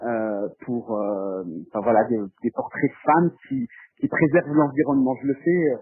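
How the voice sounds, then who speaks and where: A man's voice: medium pitch, steady tone, moderate pace, breathy edge. A man from France, giving spontaneous interview answers as a filmmaker, hesitant and often repeating words as he searches for phrasing. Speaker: documentary filmmaker being interviewed. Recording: muffled, phone-like narrow band.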